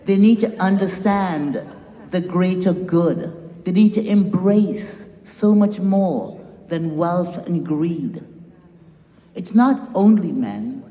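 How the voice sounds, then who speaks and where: A woman's voice: on the low side, lively intonation, slow pace, dark tone. A woman from Trinidad with a Trinidadian accent, founder of a nonprofit giving an emphatic, rousing speech with wry humor. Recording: echoey hall with long reverb.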